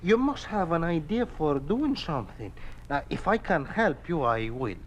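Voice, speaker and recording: An actor playing a polite, helpful racetrack handicapper in a 1950s radio drama. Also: male voice, fairly high pitch, sing-song, normal speed. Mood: polite, earnest. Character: polite, helpful horse-racing handicapper friend. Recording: dull, noisy vintage radio recording.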